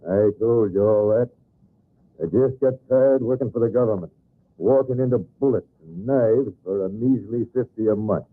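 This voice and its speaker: An actor playing a tough, plain-spoken outlaw gang member with a laconic cowboy drawl in a radio western. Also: male voice, on the low side, normal speed, close to an everyday voice. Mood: defensive. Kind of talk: old-time radio western acting. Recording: tinny, noisy old radio recording.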